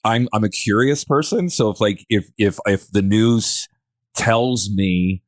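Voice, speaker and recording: A man's voice: on the low side, a little slow, no room sound, clean studio sound. A man hosting a podcast in casual discussion, talking in a hesitant, rambling way and often circling back to rephrase. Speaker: podcast host.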